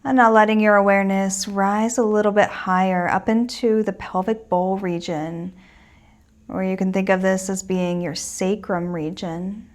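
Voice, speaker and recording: A woman teaching a guided meditation in a gentle, soothing and reassuring manner. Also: mid-range voice, fairly even intonation, moderate pace.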